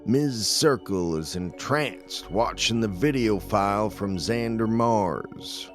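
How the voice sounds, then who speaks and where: An actor playing a dead man who calmly narrates an audio drama with slow, measured, deliberate delivery. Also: on the low side, faint music behind. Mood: measured, somber. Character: dead man narrating, calm and all-seeing.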